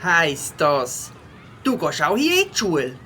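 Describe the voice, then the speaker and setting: A woman's voice: low-pitched, wildly swooping pitch, a little slow, sharp tone, a little hiss or hum, heavily acted. A woman voicing a cheerful, friendly schoolboy beaver in Swiss German for a children's audio play.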